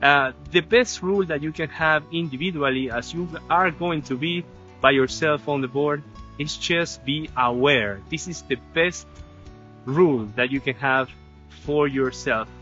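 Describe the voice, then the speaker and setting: A man in his thirties from Argentina, a surf instructor talking outdoors by the sea, halting and repeating words. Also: on the high side, bright, forceful tone. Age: 30s. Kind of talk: informal video talk. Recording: dull lo-fi sound with music.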